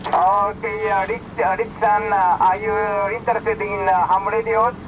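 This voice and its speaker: A man with a Japanese accent talks slowly over a ham radio link with clear noise. He searches for words in halting English, with frequent hesitations. His voice is high for a man. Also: level tone. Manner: halting, hesitant, apologetic non-fluent English. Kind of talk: amateur radio contact. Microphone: heard through a radio receiver. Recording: noisy ham radio transmission.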